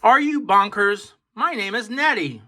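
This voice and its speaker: A man's voice: high-pitched, lively intonation, a little slow, bright, forceful tone, full character voice. A man voicing a big, indignant female mountain monster from a children's storybook, bossy yet helpful. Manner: indignant, emphatic.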